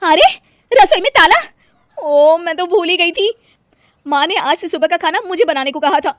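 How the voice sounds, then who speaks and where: A woman voicing a lazy young daughter-in-law in an animated story, with a whining manner. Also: extremely high pitch, normal speed, no room sound, studio quality, pitched above the performer's own voice. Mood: dismayed.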